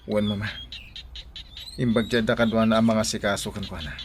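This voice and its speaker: Chirping and ambient noise surround an actor playing a dutiful Filipino son. His voice is low and stays very even, subdued in radio drama style. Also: fairly quick, close to an everyday voice. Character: dutiful grown son, subdued, worried about his sibling. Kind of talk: Ilocano radio drama acting.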